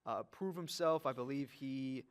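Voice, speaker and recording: A man's voice: mid-range voice, unhurried, clean tone. A man co-hosting a sports podcast, talking casually and readily agreeing with his partner. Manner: agreeable, brief affirmations.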